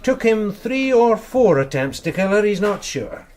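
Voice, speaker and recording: An actor in a radio drama playing a tired, loyal detective sergeant with a matter-of-fact, understated manner. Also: male voice, high-pitched, very animated, a little slow, darkish tone, slight background noise. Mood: matter-of-fact, satisfied.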